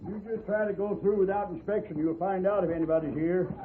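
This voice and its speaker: An actor playing a brusque, grumbling border station inspector in a radio western. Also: male voice, sits high, fast talker, close to an everyday voice. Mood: grudging. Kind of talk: 1950s radio western acting. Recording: tinny old radio with hiss.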